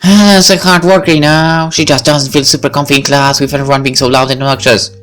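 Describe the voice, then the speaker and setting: Man voicing a curt teenage girl, pitched up high. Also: little pitch movement, fast, fairly bright tone, soft background music, close to an everyday voice. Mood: reluctant. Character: red-haired teenage schoolgirl, cool, blunt and reluctant.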